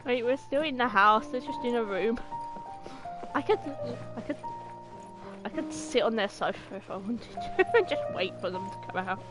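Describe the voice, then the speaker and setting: A woman's voice: a little high, expressive, moderate pace, over music. A woman giving casual live game-stream commentary, playfully repeating her words as she reacts.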